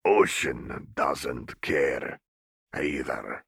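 A man voicing a huge, calm male fish-folk cook who speaks in halting, broken phrases with a rumbling voice. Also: no room sound, clean studio sound, heavily acted. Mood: calm, cryptic. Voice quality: rumbling, gravelly.

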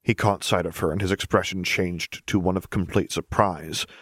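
A man's voice: deep voice, steady tone, moderate pace, a bit bright, breathy edge, no echo, studio quality. A man reading a story aloud as scripted audiobook narration.

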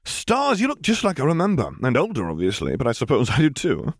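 A man's voice: mid-range voice, huge pitch swings, a little fast, no echo, clean studio sound, pitched above the performer's own voice. A man voicing a gangly, jocular younger academic in an audiobook, chattering in an effusive, slightly flustered way. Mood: excited.

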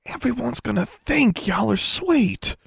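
A man voicing a shy, proper young girl with a slow Ozark drawl. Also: extremely high pitch, animated, gravelly, breathy edge, no echo, clean studio sound, pitched above the performer's own voice, heavily acted. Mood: flustered. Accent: Ozark drawl put on.

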